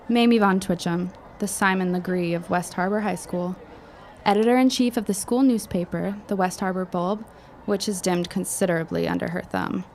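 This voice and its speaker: An actress playing a witty, eloquent high school girl with a precise, wry manner in a period audio drama. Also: slight background noise, close to an everyday voice.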